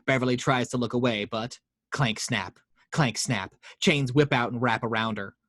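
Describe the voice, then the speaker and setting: Male narrator, mid pitch, steady read with small slips, dry. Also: moderate pace, dry recording. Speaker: narrator reading action lines.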